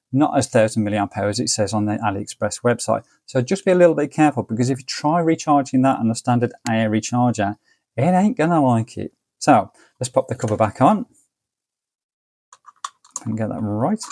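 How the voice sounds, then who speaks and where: A man's voice: mid-range voice, animated, moderate pace, a little mellow. An English man giving a casual, easygoing hands-on product review in colloquial step-by-step commentary. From United Kingdom. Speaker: gadget reviewer.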